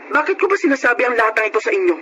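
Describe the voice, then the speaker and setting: An actor with a Filipino accent playing a devoted young son and new police officer in a radio drama. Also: male voice, extremely high pitch, very animated, rapid-fire, muffled recording, background noise. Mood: hurt, reproachful. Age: young adult. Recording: radio drama with music bed.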